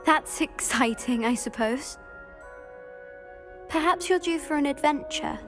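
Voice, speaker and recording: An airy, hesitant schoolgirl fortune-teller in a fantasy game. Her high voice moves very slowly and tentatively, with music clearly heard behind it. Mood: tentative, musing. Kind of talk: video game cutscene acting.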